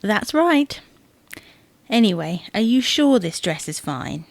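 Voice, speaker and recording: A fussy wife played by a woman in a domestic audio comedy. She bickers in an insistent, theatrically indignant way at a medium pitch. The sound is dry, with no room on it. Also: big pitch swings, slow, no room sound, heavily acted. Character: fussy, exasperated wife fretting over wedding clothes. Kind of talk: domestic audio sitcom acting.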